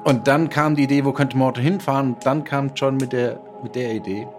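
A man recounts his co-writing process in a halting, think-aloud way. Clear music plays behind his voice. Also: mid-range voice, fairly even intonation. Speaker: author. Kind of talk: casual interview talk.

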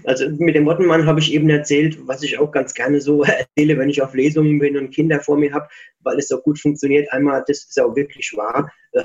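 A man from Germany, an author, answering interview questions over the phone in a relaxed, chatty way with many fillers. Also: fairly high pitch. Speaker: book author. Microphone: phone line. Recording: muffled phone-call sound.

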